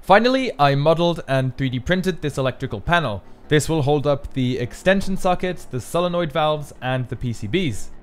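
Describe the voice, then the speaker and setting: A man's voice: fairly high pitch, animated, normal speed, hardly any pauses. A man presenting his own build in a scripted how-to narration.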